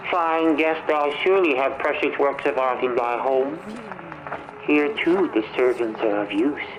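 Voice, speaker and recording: An actor playing a pompous, falsely gracious zealot inventor in a video game, preaching grandly to noble guests in archaic thee-and-thy speech. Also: male voice, fairly high pitch. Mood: pious, instructive. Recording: dull, noisy, radio-like playback of a recorded message.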